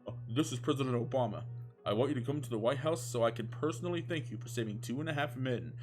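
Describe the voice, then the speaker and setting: A man's voice: mid-range voice, quick pace, a little mellow, faint music behind, natural voice. Man acting a formal, grateful president. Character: grateful, formal male president bestowing grand honours.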